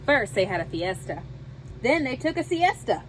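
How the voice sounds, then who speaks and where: Animated woman reading a storybook, noisy recording. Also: a little high, moderate pace. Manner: expressive, dramatic storytelling with Spanish words sprinkled in. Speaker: storybook reader. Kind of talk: animated children's read-aloud.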